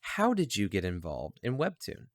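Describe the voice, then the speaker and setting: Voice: sits low, huge pitch swings, moderate pace, dry recording, clean studio sound. A joking castmate in a casual group chat, reading out questions.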